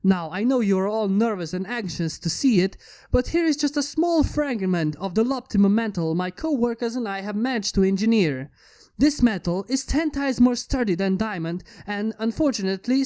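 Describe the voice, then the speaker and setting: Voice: mid-range voice, warm tone, close to an everyday voice. A narrator voicing a proud, idealistic male inventor making a grand, declamatory speech in a fan fiction story.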